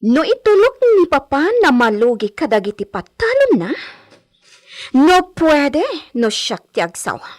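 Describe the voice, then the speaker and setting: A woman playing a haughty, spoiled young landowner's daughter in a Filipino radio drama, with a Filipino accent and drawn-out, Spanish-flavoured phrasing. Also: high-pitched, sing-song, a little mellow. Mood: scornful, imperious. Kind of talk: Ilocano radio drama acting.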